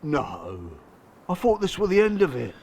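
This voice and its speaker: A man's voice: on the high side, huge pitch swings, measured pace, darkish tone, a little hiss or hum, heavily acted. An actor with a working-class English accent playing a protective, wounded father in a naturalistic audio drama, speaking in a colloquial, emotional way. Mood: exasperated. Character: protective, wounded father recounting abuse by his wife.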